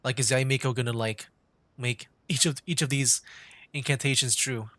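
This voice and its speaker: A man's voice: mid-range voice, fairly even intonation, moderate pace, bright, forceful tone. A young man streaming a game with casual, hesitant commentary, rambling and repeating his words. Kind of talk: casual live gaming commentary. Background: game music.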